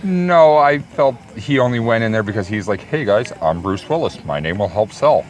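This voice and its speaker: A man's voice: animated, normal speed. A man co-hosting a casual movie review podcast with blunt, crude sarcasm. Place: outdoors or in a car near a highway. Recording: faint highway traffic noise behind voice. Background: highway traffic.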